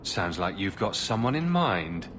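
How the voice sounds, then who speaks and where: Playful hero from a video game, cocky banter, lowish voice that moves around a lot. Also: male voice, sing-song, a little slow, a bit bright, slight background noise, full character voice.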